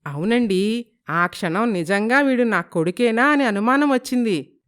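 A woman's voice: a little high, animated, unhurried, smooth. A woman playing a chiding but fond middle-aged mother of a groom in a dramatized moral story. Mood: chiding, relieved.

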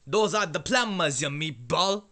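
A hot-tempered pizzeria owner with a thick, phony Italian accent. The voice sits very high and cuts through brightly. He speaks slowly in broken, halting English, with hammy, shifty flair. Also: expressive, heavily acted. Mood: insistent. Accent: exaggerated fake Italian. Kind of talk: comic character acting.

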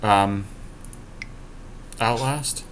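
A man's voice: fairly low pitch, extremely slow, a bit bright. Casual man with noisy background. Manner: joking, profane trash talk. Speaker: podcast co-host playing online card game. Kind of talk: casual gameplay commentary. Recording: clear background noise.

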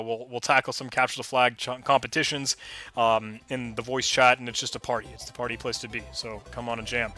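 A man's voice: a little high, sharp tone, dry recording. A young man on a headset, casually walking through a coding challenge in a chatty, enthusiastic, rambling way. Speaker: tech tutorial host. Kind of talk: casual screen-recorded walkthrough.

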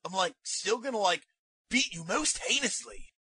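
Man doing a slacker surfer-dude ninja, bright and rough. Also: sits high, lively intonation, normal speed, heavily acted. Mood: lazy smugness. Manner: slacker drawl full of filler words. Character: laid-back surfer-dude young male ninja, smug. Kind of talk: comedy anime parody dub.

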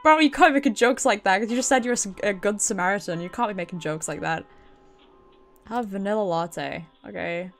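A woman's voice: medium pitch, brisk, faint music behind. A British woman doing casual, chatty game stream commentary, swearing freely and reacting aloud as she plays.

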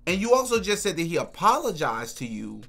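A man's voice: on the high side, big pitch swings, fairly quick, gravelly, fairly bright tone, faint music behind. A young man hosting a reaction video in a small room, giving casual, opinionated commentary and repeating words for emphasis. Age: young adult. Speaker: host of a reaction channel. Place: small furnished room indoors.

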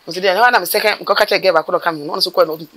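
A middle-aged woman with a Ghanaian accent, a mortuary worker telling her stories in an outdoor interview, animated and gesturing as she talks. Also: on the low side. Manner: animated, gesturing with her hands, rapid bursts of speech. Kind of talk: storytelling interview. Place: outdoors by a building wall.

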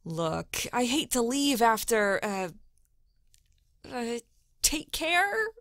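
Woman acting flustered woman, high, very slow. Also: big pitch swings, a bit bright, no room sound, full character voice. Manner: halting, scattered.

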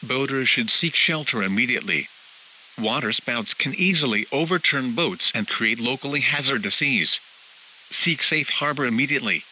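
A man's voice: mid-range voice, expressive, moderate pace. A man's synthetic text-to-speech voice reading a scripted emergency weather bulletin in a formal, clipped way, as a radio alert announcer. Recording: hissy, muffled weather radio broadcast.

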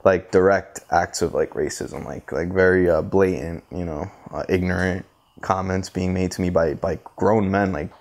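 Man in his twenties, deep pitch, interview guest, halting, reflective, hedging. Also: steady tone, a little mellow. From Costa Rica, later United States. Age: 20s. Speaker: interview guest, photographer and visual artist. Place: living room, indoors on a sofa. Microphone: clip-on lapel mic.

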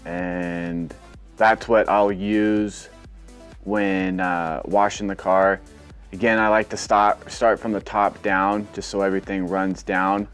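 Brief: lower-voiced guy, laid-back how-to vlog narration, faint music under. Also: slow pace, clean tone. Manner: relaxed, rambling, filler-heavy explaining. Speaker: car detailing vlogger. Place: outdoor car wash bay.

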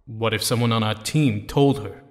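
An actor playing a serious, reassuring young male captive hero in an audio drama, speaking in a measured way. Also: lowish voice, a little mellow, natural voice. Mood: grave, suspicious. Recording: long hall-like reverb.

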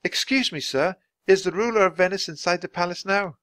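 A man's voice: high-pitched, brisk, fairly bright tone, no echo, voice pushed up. A man voicing an eager, bold and impatient young girl in a children's audiobook. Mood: eager, insistent.

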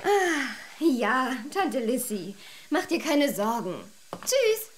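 Caring, practical girl for children's audio play; high, lively, unhurried delivery. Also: a little hiss or hum, full character voice. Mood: busy, reassuring. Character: caring, practical girl talking with her owl. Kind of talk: children's audio drama acting.

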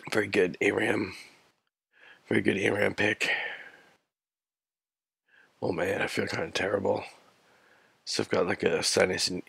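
A man commentating his gameplay while sick, sounding scratchy and rough and talking in a slow, low-key way. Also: bright, forceful tone, no room sound.

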